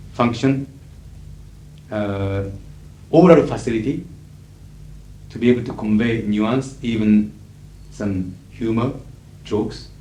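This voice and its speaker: A middle-aged man with a Japanese accent, a native Japanese speaker, lecturing as an interpreter in a measured, emphatic way with frequent fillers. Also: medium pitch, dark tone. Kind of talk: lecture to an audience. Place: lecture hall. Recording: echoey 1980s hall recording with faint hiss.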